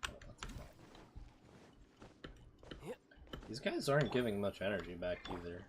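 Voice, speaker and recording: Low-voiced man gaming, clicky noisy mic. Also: big pitch swings, normal speed. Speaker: game streamer. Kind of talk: casual gameplay commentary. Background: typing, mouse clicks, background noise.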